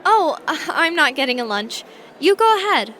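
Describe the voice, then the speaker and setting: A woman playing a friendly, slightly shy new high-school girl from space in a comic fan dub. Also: sing-song, moderate pace, bright, forceful tone, slight background noise, full character voice. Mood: hesitant, polite. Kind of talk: comic fan dub acting and first-person narration. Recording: voice over prominent background music.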